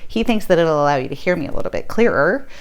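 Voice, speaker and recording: Woman, read-aloud host, slightly low pitch, lively swooping intonation, expressive storybook style, addressing children. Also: quick pace. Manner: animated, addresses young listeners directly.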